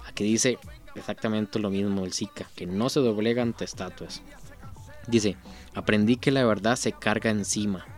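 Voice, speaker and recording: A man's voice: on the low side, fairly even intonation, normal speed, a little mellow, faint music behind. A Costa Rican man reading out song lyrics and commenting on them in a casual, earnest way, thinking aloud with frequent restarts. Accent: Costa Rican Spanish. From Costa Rica. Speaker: reaction video host.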